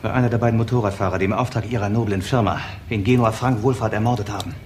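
Actor as a fast-talking, stubborn police detective man. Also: fairly low pitch, steady tone, a little mellow, lightly acted. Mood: pressing, menacing. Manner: insistent, blunt. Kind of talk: German crime radio drama acting. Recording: noisy, roomy radio drama recording.

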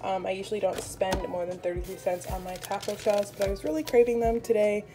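A woman's voice: mid-range voice, fairly even intonation, fairly quick. A young American woman talking casually and chattily to viewers as she narrates a vlog. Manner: chatty, informal. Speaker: vlogger. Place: indoors in a home kitchen. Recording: music playing behind the voice. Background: music.

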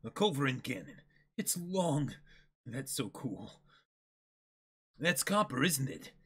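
A man's voice: medium pitch, sing-song, slow pace, fairly bright tone, slightly rough. A man in his twenties co-hosting a dramatic reading, giving scripted lines with casual asides. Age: 20s. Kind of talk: casual chat and character reading. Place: small indoor room, seated before a backdrop.

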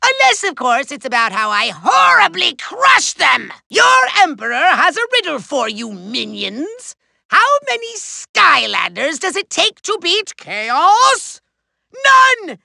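An actor playing a small, pompous, manic evil overlord in a video game, declaiming grandly in a nasal, gravelly voice. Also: male voice, very high-pitched, huge pitch swings, sharp tone, dry recording, heavily acted. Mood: imperious, gloating. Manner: grandiose, theatrical, drawn-out declaiming. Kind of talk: video game villain voice acting.

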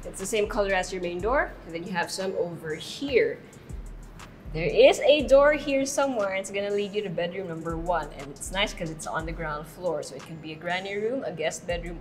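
A young woman hosting a walking house tour, chatty and upbeat, recorded with a clip-on mic inside a large house. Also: on the low side. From Philippines. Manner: upbeat, chatty, laughs easily. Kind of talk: walking real-estate tour narration. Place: large house interior, rooms and balconies.

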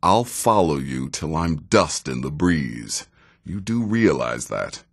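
A seasoned video game assassin with a low-pitched voice. He takes his time over each phrase, dry and sardonic, yet his tone rises and falls freely. There is wry menace in how he speaks. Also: very animated, slow pace, gravelly, husky, heavily acted. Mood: measured, grave. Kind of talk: video game character dialogue.

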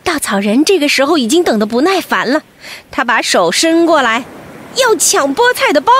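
A woman storyteller narrating an expressive bedtime story for children, animated and speaking to them directly. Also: high voice, moderate pace, a little hiss or hum.

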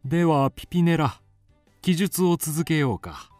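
A kindly gentleman doctor in an audio drama. He speaks with composed, old-fashioned authority and widely moving intonation. Faint music sits behind him. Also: on the high side, expressive, unhurried, dry recording. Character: kindly, learned male doctor recording an animal's biography. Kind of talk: Japanese radio drama acting.

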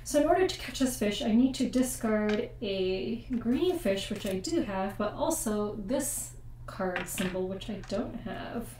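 A young woman talks through a card game at her table at home, at an ordinary pitch, explaining each step and stopping to check rules. The sound is roomy and a little distant from the mic, with a faint hum behind her. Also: expressive, a little slow. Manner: chatty and explanatory, often second-guessing the rules. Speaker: board game channel host. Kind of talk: casual board game playthrough. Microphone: desk USB mic with room echo. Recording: echoey room, mic set far off.

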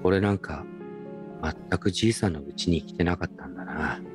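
A man's voice: deep voice, moderate pace, music in the background, close to an everyday voice. A man playing a wry, nostalgic grown grandson, telling his story casually and reflectively in a radio drama. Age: adult. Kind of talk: first-person radio drama narration and dialogue.

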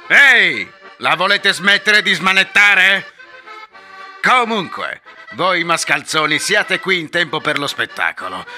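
Swaggering pirate fox animatronic, a boastful male show robot hamming it up in a fan-dubbed cartoon, played by a man. His voice is bright and sharp, swinging widely up and down as he drags out his words with loud pirate bluster. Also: high voice, big pitch swings, takes it slowly, soft background music, exaggerated voice. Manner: bellowing, laughing pirate bluster. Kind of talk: fan dub voice acting.

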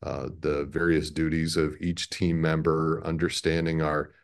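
Very low-voiced American man in his fifties. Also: level tone, a little slow. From Chicago, American Midwest. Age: 50s. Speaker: radio network president, interview guest. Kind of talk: conversational interview. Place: indoor room, on a video call.

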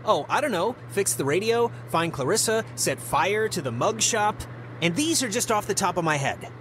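A man's voice: sits high, big pitch swings, normal speed, fairly bright tone, slight background noise. A young American man playing a blunt, sarcastic, short-tempered teenage boy in a video game. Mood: exasperated. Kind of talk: naturalistic video game dialogue. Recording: music behind the voice.

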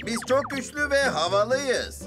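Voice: high-pitched, very animated, a little slow, music in the background. An actor voicing a boastful little cartoon vehicle. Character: boastful, restless young vehicle kid. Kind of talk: cartoon dubbing.

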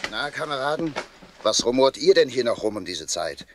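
A man's voice: medium pitch, sing-song, normal speed, fairly bright tone. An actor playing a good-humoured but firm, mock-stern father in a children's radio play, with faint hiss behind.

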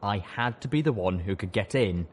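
A man's voice: lowish voice, animated, normal speed, a little hiss or hum. A man reading a dramatic scripted story as an audiobook narrator. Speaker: audiobook reader.

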